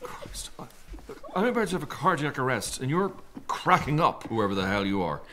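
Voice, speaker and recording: A man's voice: medium pitch, big pitch swings, normal speed, background noise, natural voice. A man of about fifty with an Irish accent acting a grumpy, sardonic retreat manager in a radio drama, dry and mock-grandiose. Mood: startled, annoyed. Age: middle-aged.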